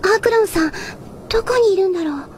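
An actress playing a sweet, troubled teenage girl in an audio drama, soft, hesitant and wistful. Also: very high voice, fast, slight background noise. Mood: wistful, fragile. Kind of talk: anime-style audio drama acting.

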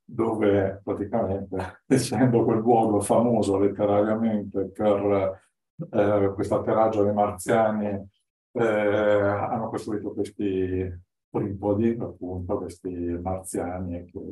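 A man in his fifties gives an informal slide lecture as a guest speaker, in halting, hesitant phrases, standing in a classroom away from the microphone. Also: fairly low pitch, flat delivery, mellow. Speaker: guest lecturer, artist-researcher. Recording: echoey room, distant from mic.

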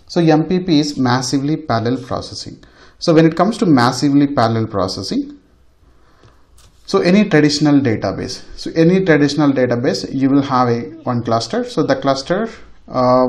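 A man with a mid-pitched voice is teaching in an informal tutorial style. He explains as he goes, like an instructor walking through a demonstration. He often says a phrase again to drive it home. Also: a little mellow. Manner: repeats phrases for emphasis. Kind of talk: informal technical lecture over a screen demonstration.

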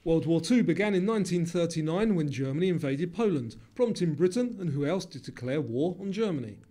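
A man narrating a scripted quiz, reading questions and answers in a measured, formal way. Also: on the high side, animated, warm tone. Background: music.

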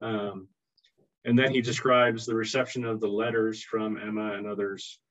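A middle-aged man on a video call giving a measured explanation of history, pausing and restarting phrases. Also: lowish voice, level tone, fairly bright tone. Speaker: historian guest. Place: indoor office with bookshelves. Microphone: video call computer mic. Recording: dull lo-fi video call audio.

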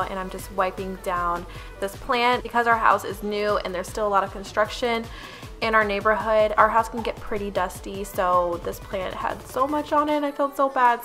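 A woman's voice: mid-range voice, bright, forceful tone. A young woman talking casually over her cleaning footage, chatty and upbeat, speaking straight to her viewers. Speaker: lifestyle vlogger.

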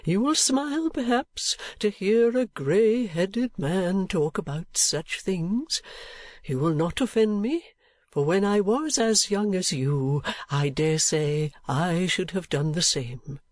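A man voicing a kindly, excitable, sentimental old gentleman in a storybook reading, with an emphatic, halting, drawn-out delivery. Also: sits high, no room sound, voice pushed up, exaggerated voice. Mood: wistful, tender. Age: older.

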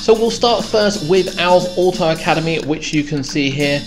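A British man giving a measured, descriptive voice-over tour as a theme park vlogger. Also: on the high side. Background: clear background music.

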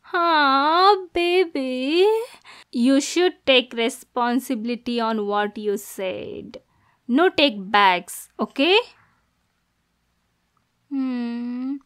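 A woman voicing a possessive, manipulative young yandere girlfriend in an ASMR roleplay, cooing pet names with an unstable menace. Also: high-pitched. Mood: needy, possessive, then soothing, possessive. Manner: cooing pet names, switching between soft menace and cursing. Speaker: ASMR voice actor.

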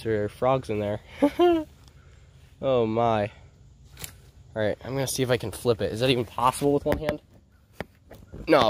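A man's voice: medium pitch, slight background noise. A young man with a congested, stuffy voice, chatting casually as a vlogger while searching outdoors in the woods, recorded on a head-mounted action camera. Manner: chatty, excited, self-narrating while searching.

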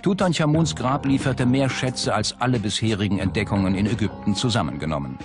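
A man's voice: fairly low pitch, lively intonation, a little fast, over loud music. A man reading scripted documentary narration as the narrator of a history programme.

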